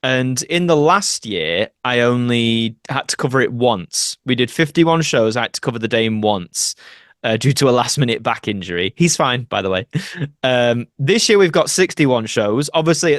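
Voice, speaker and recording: A man's voice: medium pitch, lively intonation, normal speed, a bit bright, no echo, studio quality. A man, an actor, chatting casually as a podcast guest and explaining his thoughts in a conversational way. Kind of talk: casual interview chat.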